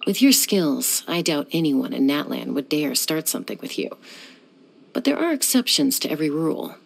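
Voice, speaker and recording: A woman's voice: low voice, sing-song, moderate pace, gravelly, husky, full character voice, low-quality recording. A young woman voicing a self-assured, dryly teasing gunslinger who flies and settles disputes, in video game voice lines. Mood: cool. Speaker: game character.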